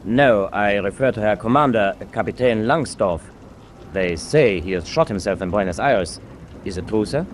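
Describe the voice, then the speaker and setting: Male actor as German naval chief engineer, radio drama: lowish pitch, concerned, formal and respectful, faint noise behind. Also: animated, normal speed, close to an everyday voice. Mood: grave, anxious. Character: worried, dutiful German ship's chief engineer.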